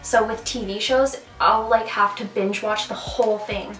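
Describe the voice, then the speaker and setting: A bubbly young Canadian woman with a mid-range voice rambles happily, dropping in lots of fillers. Her voice sits some distance from the mic in an echoey room, with soft music playing behind. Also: medium pitch, steady tone, normal speed. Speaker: vlogger. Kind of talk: casual chat.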